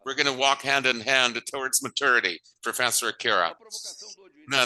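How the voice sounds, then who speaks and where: Bright, halting male conference interpreter. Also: medium pitch, rough recording. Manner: halting, restarts and rephrases phrases.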